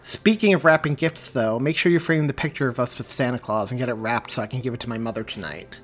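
A man's voice: mid-range voice, quick pace, close to an everyday voice. A man reading the lines of a wry, self-assured young Italian-American man in his own voice.

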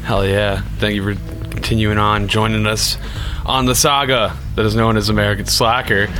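A man's voice: fairly low pitch, fairly even intonation, moderate pace, bright, forceful tone, soft background music, rough recording. A man co-hosting a casual podcast, laid-back and swearing easily, with lots of fillers. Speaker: podcast co-host.

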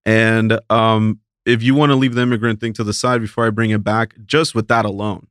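A man's voice: fairly low pitch, level tone, a little fast, fairly bright tone, clear, resonant tone, no room sound, studio quality. A man from Mexico talking casually as a guest in an interview, easygoing and full of fillers and restarts. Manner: laid-back, filler-heavy, restarts a lot. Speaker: guest, talent agency principal.

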